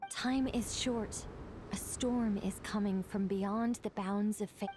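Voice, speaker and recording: An actress playing a mysterious, composed female guide in a video game, speaking in a measured, foreboding manner. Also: mid-range voice, fairly even intonation, background noise, lightly acted. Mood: knowing, foreboding. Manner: measured, knowing.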